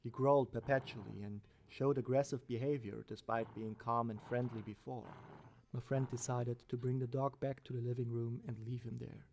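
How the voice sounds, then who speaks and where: A man reading a scripted first-person horror story as its narrator, with a gravelly voice. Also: medium pitch, steady tone, normal speed, a little mellow, slight background noise.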